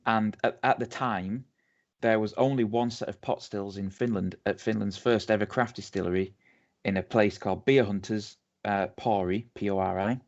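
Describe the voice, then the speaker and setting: A man's voice: lowish voice, steady tone, normal speed. A man with an English accent, co-hosting a podcast and telling a distillery's story in a rambling, chatty way. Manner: chatty, rambling with many ums and restarts. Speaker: podcast co-host. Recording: dull lo-fi audio.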